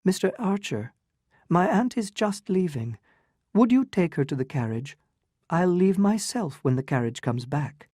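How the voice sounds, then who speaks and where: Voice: medium pitch, sing-song, a little slow, darkish tone, husky, no echo, studio quality, voice pushed up. A narrator voicing a poised young countess who is guarded and proud, speaking in a lighter, clipped, composed way. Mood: commanding.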